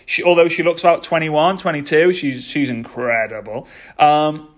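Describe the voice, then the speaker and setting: A man in his thirties gives a motivational talk on his podcast. His voice sits a little high for a man. He speaks with energy and keeps repeating his key points for emphasis. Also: fairly even intonation, moderate pace. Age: 30s.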